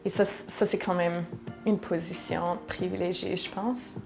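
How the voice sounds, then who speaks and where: Woman, low and flat, gravelly, faint music under her voice. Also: unhurried. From Manitoba, Canada. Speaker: touring artist. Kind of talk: documentary interview.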